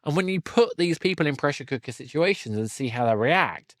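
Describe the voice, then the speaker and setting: A man's voice: a little high, expressive, a little fast, dry recording, clean studio sound. A man hosting a casual interview podcast, speaking conversationally with a stumbling, self-correcting delivery.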